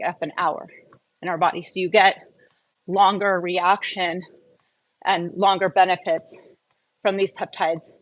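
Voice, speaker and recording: A woman's voice: lowish voice, poor audio. A woman host giving a casual solo wellness update, explaining step by step in a conversational way and posing questions to the listener.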